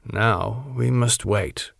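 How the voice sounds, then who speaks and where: A man's voice: medium pitch, drawn-out words, gravelly, no echo, studio quality, exaggerated voice. A man voicing a stern, solemn older professor and vampire hunter in an audiobook, speaking in grave, terse statements.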